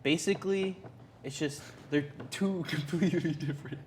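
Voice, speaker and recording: A man in a casual group discussion who speaks haltingly and repeats himself to make his point. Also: a little high, a little mellow. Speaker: show panelist. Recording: noticeable background room noise.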